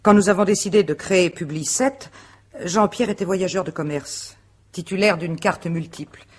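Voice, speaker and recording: An actress playing a proud, composed wife and company director under questioning in a radio mystery drama, articulate, formal and dryly ironic. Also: female voice, on the low side, moderate pace, slightly rough. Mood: matter-of-fact.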